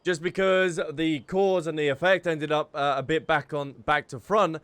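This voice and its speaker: A man's voice: a little high, steady tone, moderate pace, a bit bright, smooth, no room sound. A man commenting casually on a video game as he plays, reacting and reading dialogue aloud.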